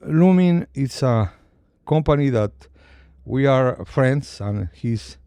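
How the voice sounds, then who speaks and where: Slow, halting man with non-native English accent. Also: medium pitch, animated, warm tone, smooth, no echo. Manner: halting, searching for words. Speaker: company CEO presenting products. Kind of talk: unscripted product presentation.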